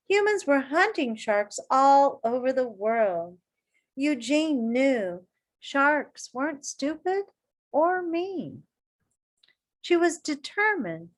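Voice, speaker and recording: A woman reading a children's story aloud like an elementary teacher, expressive and encouraging, with deliberate emphasis and asides to her young listeners. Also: high voice.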